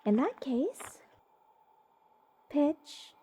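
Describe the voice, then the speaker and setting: A woman's voice: sits high, big pitch swings, very noisy, exaggerated voice. A woman playing a gushing, eccentric mage besotted with cursed creatures, in a rambling, whimsical fantasy ASMR roleplay addressed to the listener. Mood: dreamy, fond. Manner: slow, rambling, doting, theatrically whimsical. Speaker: voice actor.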